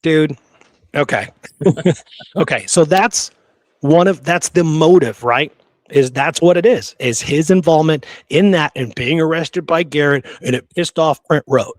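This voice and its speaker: A man's voice: on the high side, measured pace, no room sound. A man hosting a history podcast, talking casually and emphatically and often checking for agreement. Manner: informal, emphatic, seeks agreement with "right?".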